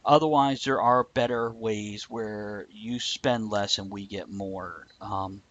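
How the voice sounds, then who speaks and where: A man's voice: on the low side, steady tone, takes it slowly, fairly bright tone, muffled recording. A man co-hosting a livestream, chatting casually in a joking, bantering way. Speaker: livestream co-host. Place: indoors, live stream.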